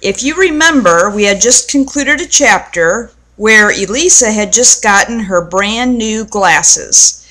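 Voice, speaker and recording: Slow-paced woman reading a children's story. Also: mid-range voice, animated, bright, forceful tone, poor audio. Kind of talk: read-aloud storytelling to children.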